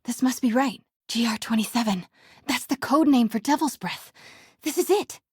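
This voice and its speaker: A woman playing a wry, curious, quick-witted young woman in a video game, muttering to herself as she sneaks around, with a breathy voice. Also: a little high, animated, dry recording, clean studio sound. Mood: curious, focused. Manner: wry, hushed self-talk.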